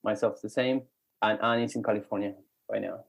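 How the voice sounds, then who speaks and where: A man's voice: fairly low pitch, fairly even intonation, brisk, vocal fry. A man from Argentina introducing himself and his company informally as a startup co-founder on an online call. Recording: dull lo-fi call audio.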